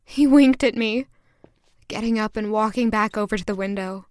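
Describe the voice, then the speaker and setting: A woman's voice: medium pitch, moderate pace, a little mellow, clean tone, dry recording, lightly acted. A woman playing a flustered, demure young maid given to romantic daydreams in an audio drama. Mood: smitten, reflective. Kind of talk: audio drama acting with first-person narration.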